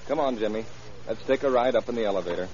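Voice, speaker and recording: A man's voice: lowish voice, quick pace, crowd noise, close to an everyday voice. An actor playing a soothing, mildly skeptical hotel man who coaxes and reassures, in a vintage radio drama. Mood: coaxing, reassuring. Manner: coaxing, reassuring, talking down to a child. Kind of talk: 1930s-40s crime radio drama acting. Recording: dull old radio recording, clear hiss.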